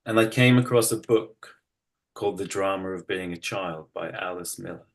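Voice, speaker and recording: A middle-aged man with an English accent, telling his own story reflectively in a halting, thoughtful way, often circling back to rephrase. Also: sits low, gravelly. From United Kingdom. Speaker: interview guest, writer. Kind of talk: reflective personal storytelling in conversation.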